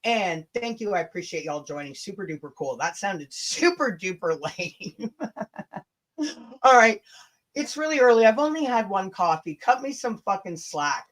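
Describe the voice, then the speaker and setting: A woman's voice: mid-range voice, big pitch swings, moderate pace. A woman hosting a casual livestream rant, blunt, combative and sweary, with chatty asides to her viewers. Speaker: livestream host.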